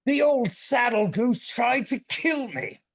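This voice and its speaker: A woman's voice: a little high, expressive, slow pace, exaggerated voice. A woman voicing a grumpy, whiny, dramatic old man in a script table read. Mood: exasperated, whiny.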